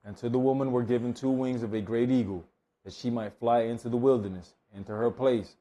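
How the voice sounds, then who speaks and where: A man's voice: medium pitch, steady tone, brisk, mellow, highs cut off. A man reads scripture aloud as the reader at a Bible study.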